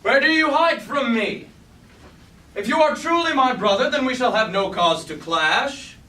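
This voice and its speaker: A man as a defiant, high-voiced robot warrior. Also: lively intonation, a little slow, sharp tone, exaggerated voice. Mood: wary, searching. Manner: formal, archaic, declamatory. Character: defiant, righteous, combative male robot warrior. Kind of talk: staged reading of a mock Greek tragedy. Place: indoor staged reading, mic far from actor. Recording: roomy, distant, noisy live stage recording.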